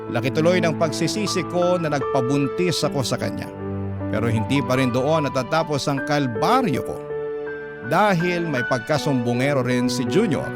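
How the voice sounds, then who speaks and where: A man with a Filipino accent reading a listener's letter as a dramatic radio storytelling host. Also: medium pitch. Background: prominent keyboard music.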